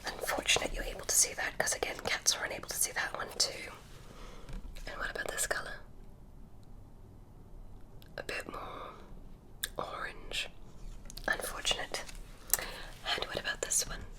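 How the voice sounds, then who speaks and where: A woman voicing a curious alien examiner who tests a human, speaking in a soft, clinical ASMR whisper. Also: a little slow, pauses often, close to an everyday voice. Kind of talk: ASMR medical roleplay.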